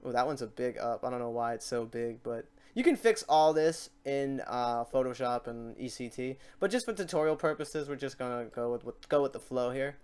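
A man with a clean, smooth voice talks through a software tutorial as its host. He is informal and unscripted, working things out aloud as he goes. He is quick to poke fun at his own work. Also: mid-range voice. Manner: informal, thinking aloud, self-deprecating. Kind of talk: casual, improvised software tutorial.

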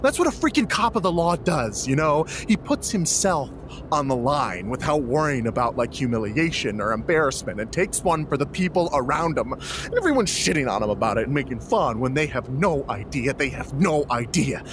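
Man playing an excitable cop sidekick, very animated. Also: mid-range voice, moderate pace, noisy background, close to an everyday voice. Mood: earnestly defensive. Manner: rambling, earnest, slangy. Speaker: actor in a scripted audio drama. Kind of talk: naturalistic comedic audio drama acting.

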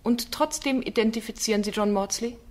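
A woman's voice: a little high, a little fast, natural voice. An actress playing a bold, insistent female defence barrister in a German crime radio play. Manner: pointed, insistent cross-examining.

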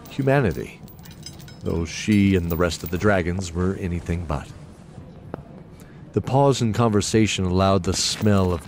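A man's voice: low-pitched, darkish tone, a little hiss or hum. A man narrating a scripted fantasy audio drama as a solemn storyteller. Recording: clear background ambience under voice.

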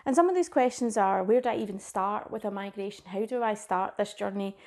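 A woman's voice: medium pitch, fairly quick, a little mellow. Scottish woman, 30s, tech presenter, chatty and keen, informal delivery, webcam in a small room. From Scotland. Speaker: cloud advocate presenting a conference session. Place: small indoor room with a plain wall.

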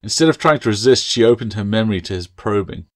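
Low-voiced man narrating fantasy fiction. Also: expressive, quick pace, no echo, studio quality. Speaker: author reading his own novel.

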